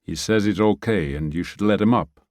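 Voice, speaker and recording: A man's voice: fairly low pitch, normal speed, no echo, studio quality, natural voice. A man voicing a big, brash young man who mutters sullenly.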